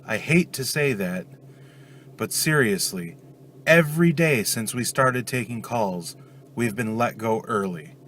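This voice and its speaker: A man's voice: medium pitch, lively intonation, unhurried, fairly bright tone, slight background noise. A man with a stuffed-up cold talking casually in a daily vlog, venting his frustration. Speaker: vlogger.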